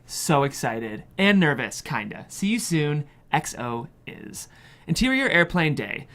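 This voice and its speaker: A narrator reading screenplay stage directions in an expressive, measured way at a table read. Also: mid-range voice.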